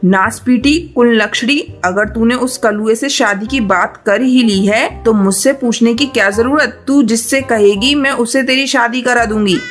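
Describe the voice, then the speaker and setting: A woman's voice: a little high, unhurried, hardly any pauses, lightly acted. A woman voicing a blunt, bossy middle-aged mother bird in a cartoon, with an emphatic delivery. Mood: indignant scolding. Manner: scolding, emphatic. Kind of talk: Hindi cartoon voice acting. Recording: music behind the voice.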